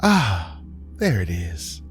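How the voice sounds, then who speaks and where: A man voicing a sly spirit in a mother's shape for a horror story, sweetly taunting in a drawn-out folksy way. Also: low voice, huge pitch swings, soft background music, exaggerated voice. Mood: eerie coaxing. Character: sly menacing female spirit wearing a mother's face.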